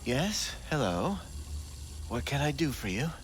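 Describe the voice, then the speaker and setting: An actor playing a sleazy, lecherous back-alley implant doctor in a video game, with an oily, leering, theatrical delivery. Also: male voice, medium pitch, big pitch swings, a little slow, background noise. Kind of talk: video game cutscene acting.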